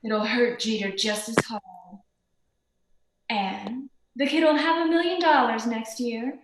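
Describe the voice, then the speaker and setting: A woman playing a tough, sharp-tongued femme fatale in an old-time radio detective play, curt and sardonic. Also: fairly high pitch, unhurried, background noise. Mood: bitter, vengeful. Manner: curt, hard-boiled, sardonic. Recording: echoey room sound.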